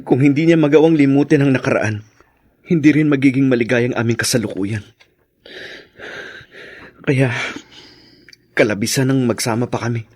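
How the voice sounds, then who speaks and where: An actor playing a sorrowful, resolute son and estranged husband in a Tagalog radio drama, with a Filipino accent. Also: male voice, mid-range voice, fairly even intonation, moderate pace, long pauses, a little mellow, no echo, studio quality, lightly acted.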